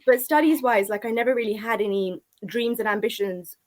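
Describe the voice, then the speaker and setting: A woman's voice: a little high, fairly quick, a little mellow. London woman telling her story candidly. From UK, born in India to an Afghan family, moved at three. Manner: conversational, frequent "like" and "you know". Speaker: podcast guest, author and speaker. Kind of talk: candid personal storytelling in an interview.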